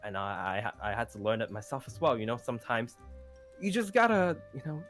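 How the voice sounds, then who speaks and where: A man's voice: medium pitch, lively intonation, a bit bright, soft background music, natural voice. Man playing an earnest, hesitant young best friend. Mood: reassuring. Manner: halting, repeats words, pads with "you know". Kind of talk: improvised Minecraft roleplay acting.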